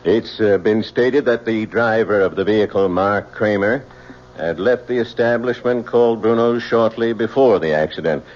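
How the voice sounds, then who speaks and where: Man acting as formal low-voiced coroner. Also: natural voice. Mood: formal, official. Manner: formal, official, deliberate. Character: stern, formal male coroner presiding over an inquest. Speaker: actor in a radio drama. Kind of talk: old-time radio drama acting. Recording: muffled old radio recording, faint noise.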